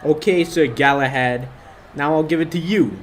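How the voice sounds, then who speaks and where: A man's voice: a little high, moderate pace, heavy background noise, close to an everyday voice. An actor playing a menacing, sneering young tough guy in a 1940s-style radio play, taunting as he goes.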